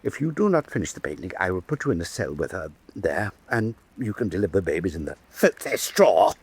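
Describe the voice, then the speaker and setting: An actor playing a fretful, self-pitying, vain ruler in a radio drama, with pompous, theatrical speechifying and a husky voice. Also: male voice, sits low, sing-song, fairly quick, darkish tone, heavily acted. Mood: aggrieved, self-pitying.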